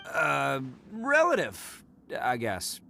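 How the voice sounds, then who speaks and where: An actor voicing a brash, boastful but good-hearted young horned oni in a video game, swaggering and theatrical. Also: male voice, fairly high pitch, huge pitch swings, very slow, vocal fry, sharp tone, a little hiss or hum, exaggerated voice. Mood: hesitant. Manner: swaggering, theatrical, mock-humble. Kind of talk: video game character acting.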